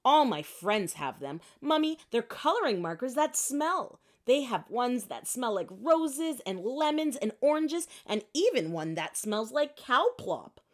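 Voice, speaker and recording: A woman's voice: on the high side, big pitch swings, unhurried, few pauses, pitched above the performer's own voice. Woman acting out an eager, insistent little girl. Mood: pleading, insistent. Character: eager, pleading young schoolgirl who loves markers.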